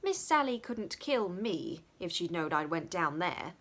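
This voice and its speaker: A woman's voice: on the low side, sing-song, unhurried, a bit bright, full character voice. A woman voicing a small, timid, downtrodden English servant girl in a storybook, with pleading, uneducated speech.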